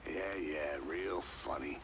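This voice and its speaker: An actor playing a wry, casual investigator partner in a video game, sardonic and a little hesitant. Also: male voice, extremely low pitch, slow pace, heavily acted. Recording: clear background noise.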